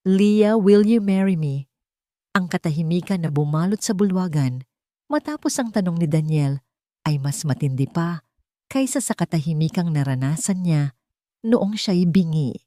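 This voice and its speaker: Low, mellow woman narrating a dramatic story. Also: expressive, moderate pace, no echo, clean studio sound. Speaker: narrator of romance stories. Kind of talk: dramatic scripted audiobook narration.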